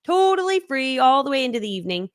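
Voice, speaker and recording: Bubbly, high-voiced chatty woman. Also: sing-song, fast talker, no room sound, clean studio sound. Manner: bubbly and chatty, laughs often, many fillers. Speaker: guest speaker. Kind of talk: casual conversational interview.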